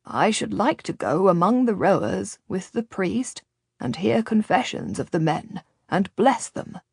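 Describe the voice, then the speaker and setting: A woman's voice: mid-range voice, very animated, gravelly, slightly rough, dry recording, studio quality, heavily acted. A woman voicing a pious, insistent male friar, earnest and shaken, in an audiobook story. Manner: slow, insistent, indignant.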